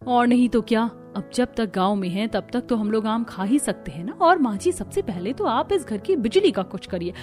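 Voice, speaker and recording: A woman voicing a chatty, somewhat pert young daughter-in-law from the city in an animated story. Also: fairly high pitch, normal speed, hardly any pauses, close to an everyday voice. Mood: complaining. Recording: music under the voice.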